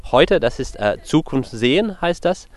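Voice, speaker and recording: English farmer speaking accented German. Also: male voice, fairly high pitch, sing-song, a little slow. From England. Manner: simple, non-native German phrasing. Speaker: farmer in charge of pigs and crops. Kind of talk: interview answers and informal instructions to a group.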